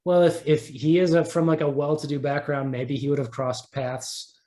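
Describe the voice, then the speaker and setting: A man being interviewed as a writer, talking casually and haltingly, often repeating words as he thinks aloud. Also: on the high side, little pitch movement, warm tone. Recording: roomy echo.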